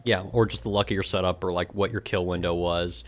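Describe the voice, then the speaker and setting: Low-voiced sarcastic man on a stream. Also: steady tone, fairly quick, faint music behind. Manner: sarcastic, exasperated, casual with swearing. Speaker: streamer and gaming guild member. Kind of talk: live stream reading aloud with sarcastic commentary.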